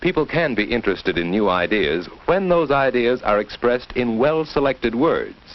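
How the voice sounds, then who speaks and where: A man with an American accent giving didactic, measured scripted narration for a 1940s educational film. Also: medium pitch, lively intonation. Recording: muffled 1940s film soundtrack.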